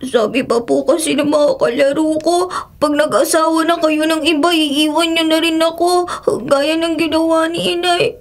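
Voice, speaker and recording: A woman's voice: high voice, steady tone, normal speed, lightly acted. A woman playing a sad, anxious little boy in a Filipino radio drama, speaking politely and plaintively in a childlike voice with a Filipino accent. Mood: worried, sad. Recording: music under the voice.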